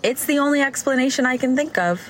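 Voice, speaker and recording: A woman's voice: sits high, lively intonation, fast, a little hiss or hum. A woman playing an exasperated, scornful nun in a comedy audio drama, curt and sarcastic in her delivery. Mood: exasperated, defensive. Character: exasperated, sharp-tongued pregnant young nun, scornful.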